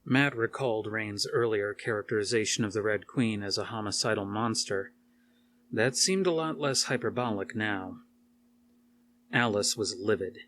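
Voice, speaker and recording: A man's voice: lowish voice, moderate pace, fairly bright tone, a little hiss or hum. A man reading an action horror novel aloud as scripted narration, with a dramatic storytelling manner. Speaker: audiobook reader.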